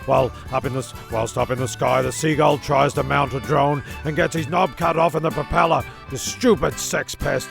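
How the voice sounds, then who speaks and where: A man narrates a comic script in a wry tone. His mid-pitched voice is extremely gravelly and fried, with music playing clearly behind it. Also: steady tone, moderate pace, few pauses.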